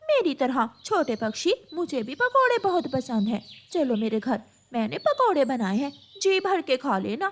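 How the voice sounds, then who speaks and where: A woman's voice: high voice, very animated, normal speed, hardly any pauses, pitched below the performer's own voice. A woman playing a sly female crow in a children's cartoon, wheedling with false friendliness. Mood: coaxing. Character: sly, coaxing female crow who lures young birds.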